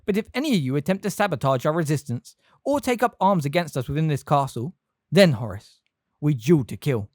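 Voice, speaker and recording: A reader voicing a stern older woman who gives sharp, scolding commands. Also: medium pitch, sing-song, fast talker, hardly any pauses, no echo, studio quality, voice pushed up, lightly acted.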